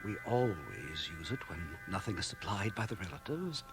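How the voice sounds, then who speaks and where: Fussy, swooping, unctuous undertaker. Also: male voice, deep voice, sing-song, moderate pace, over music. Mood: unctuous, confiding. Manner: hesitant, delicately euphemistic, polite. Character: fussy, soft-spoken, unctuous male undertaker. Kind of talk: radio drama acting. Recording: 1980 radio drama, home cassette transfer.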